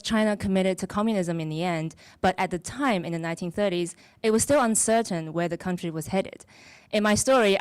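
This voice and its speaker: Measured woman author introducing her story. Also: on the low side, clean tone, no room sound.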